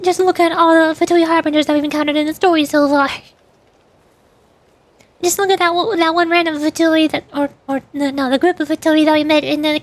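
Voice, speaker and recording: A young woman streaming a game, with a high, light voice. She jokes sarcastically about the story and gets excitable. She often repeats a phrase for comic effect. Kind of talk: playful game commentary.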